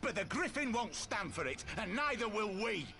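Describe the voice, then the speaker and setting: An actor playing a gruff, solemn and fervent male resistance fighter in a video game cutscene. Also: sits high, big pitch swings, moderate pace, bright, forceful tone, slight background noise, exaggerated voice. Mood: angry, defiant.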